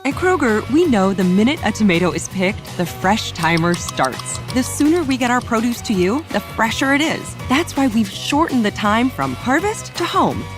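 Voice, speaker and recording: Animated American woman's ad voice over music. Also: a little high, moderate pace, few pauses. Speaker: commercial voice-over. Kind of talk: scripted grocery ad read.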